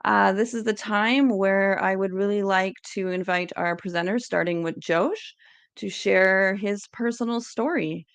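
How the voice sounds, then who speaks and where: A woman's voice: mid-range voice, measured pace, smooth, no room sound. A woman giving an educational presentation, reading encouraging tips in a scripted, list-like way.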